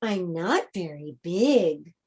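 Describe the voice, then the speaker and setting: A woman's voice: on the low side, animated, slow pace, a little mellow, breathy edge, voice pushed down, exaggerated voice. A woman voicing a small, kind female train engine from a storybook, humble and earnest in manner. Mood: modest, unsure.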